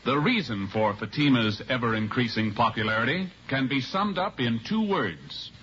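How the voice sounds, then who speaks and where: A man's voice: mid-range voice, expressive, normal speed, a bit bright. A man announcing in a formal, emphatic 1950s radio style. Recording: muffled vintage radio recording, faint noise.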